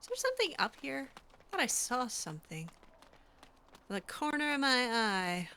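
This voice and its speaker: A woman's voice: sits high, huge pitch swings, moderate pace, sharp tone. A woman playing through a role-play video game in character, musing aloud to herself in a hesitant way. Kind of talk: in-character game playthrough commentary.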